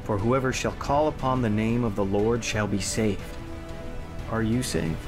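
An actor playing a solemn evangelist in a radio drama. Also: male voice, mid-range voice, normal speed, over music, natural voice.

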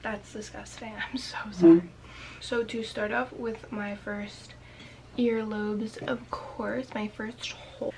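A young woman with a slightly raspy voice, chatting to her webcam in a small bedroom, full of "like" fillers and often dropping into a whisper. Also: mid-range voice, fairly even intonation, slow, background noise. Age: young adult. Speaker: vlogger. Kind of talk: casual storytelling to camera.

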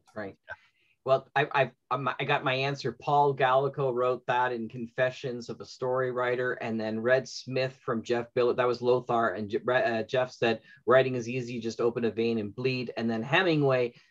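A man's voice: mid-range voice, level tone, moderate pace. A middle-aged man moderating a casual panel discussion over a video call. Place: indoor room, video call. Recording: dull lo-fi video call audio.

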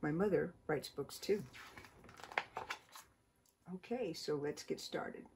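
A middle-aged woman from the United States, a children's author, chatting and reading a storybook aloud in her book-filled home studio with a cheerful, expressive storytime manner. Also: low voice, slow, background noise. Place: home studio full of books, indoors.

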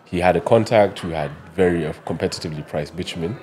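A Kenyan man in his thirties, a podcast guest explaining things in a measured way, often adding "you know", recorded on a studio mic. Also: deep voice, darkish tone. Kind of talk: conversational interview. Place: studio with neon sign, indoors. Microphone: podcast mic on boom arm.

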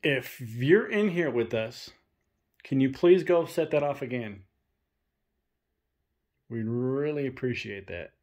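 A young man filming a ghost-hunting vlog in a dark abandoned farmhouse, talking to spirits and loudly challenging them. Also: medium pitch, lively intonation, slow pace, long pauses. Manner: loud provoking challenges to the spirits. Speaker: paranormal investigator.

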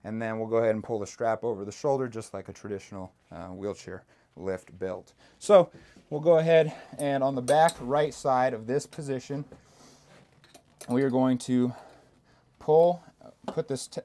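A young man walks through a hands-on equipment demonstration, speaking at a middle pitch in a steady, practical way with the odd "um" and "uh." He talks as he works inside a small parked bus, close to a small mic. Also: moderate pace, slight background noise. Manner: steady step-by-step instruction with fillers.

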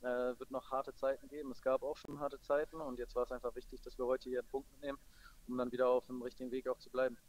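A man speaking as a football coach in a post-match interview, matter-of-fact and measured. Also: mid-range voice, little pitch movement, no room sound, rough recording.

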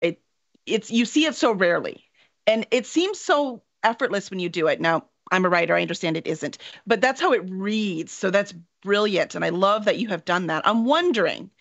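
A middle-aged woman with an American accent hosting a casual interview in an animated, informal way. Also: medium pitch. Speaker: interview host. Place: home room with bookshelves, indoors. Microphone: video call. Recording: dull, muffled video-call audio.

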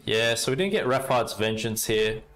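A young Australian man casually commenting on his card game and chatting with viewers, relaxed and talkative. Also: mid-range voice, normal speed, soft background music. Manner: relaxed, chatty, talks to chat while playing. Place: indoor room at a desk.

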